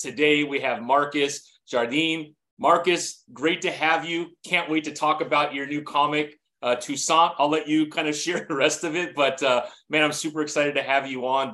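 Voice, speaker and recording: A man's voice: fairly high pitch, fairly even intonation, normal speed, hardly any pauses, bright, forceful tone. A man hosting an interview show, talking in an upbeat, encouraging way and often affirming the guest. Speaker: interview host. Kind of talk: casual interview chat.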